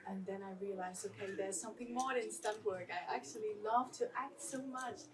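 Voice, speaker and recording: A woman from Germany, an actress and former boxer, talking on a festival panel in an upbeat, halting way with restarts. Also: medium pitch, crowd noise. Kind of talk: casual panel talk. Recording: distant mic with audience noise.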